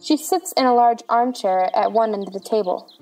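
A woman's voice: fairly high pitch, normal speed, a little mellow, low-quality recording. A woman reading scripted narration of stage directions for a play.

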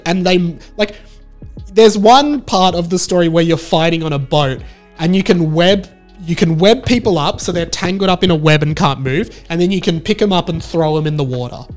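A man in his thirties vlogging about video games in a casual, animated, emphatic way into a handheld microphone. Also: sits high. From Australia. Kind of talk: casual enthusiastic talk to camera. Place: small room at home, indoors. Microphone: handheld microphone close to the mouth. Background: background music.

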